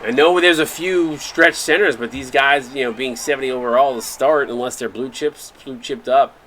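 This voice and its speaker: Upbeat bright-voiced male stream commentator. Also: mid-range voice, moderate pace, a little hiss or hum. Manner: upbeat, thanks viewers by name between plays. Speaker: play-by-play broadcaster for a simulated basketball league stream.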